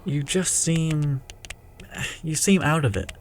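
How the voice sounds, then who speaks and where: A man's voice: on the high side, slow, a little hiss or hum. A man playing a casual, upbeat, loyal young sidekick in an audio drama. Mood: friendly. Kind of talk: radio drama acting.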